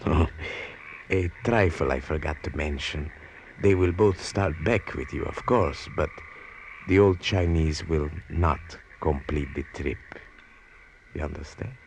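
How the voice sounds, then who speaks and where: A cold, calmly ruthless male smuggling boss. The voice is deep and gravelly, with formal, measured, sinister phrasing. It sounds like a vintage radio drama with some background noise. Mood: quietly menacing. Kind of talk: old-time radio drama acting. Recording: noisy vintage radio recording.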